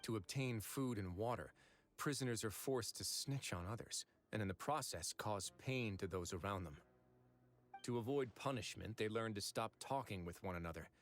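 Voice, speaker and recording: A man voicing a calm, stern, analytical prison warden in a video game, speaking in a measured, formal, articulate way. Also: lowish voice, natural voice. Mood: grave, reflective. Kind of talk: scripted video game dialogue.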